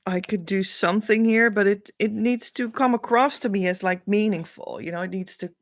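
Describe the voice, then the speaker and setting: A woman with a Dutch accent, a spiritual coach thinking aloud as she reads meaning into letters, with many pauses and hesitations. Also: medium pitch, no echo, clean studio sound. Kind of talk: casual spiritual reading.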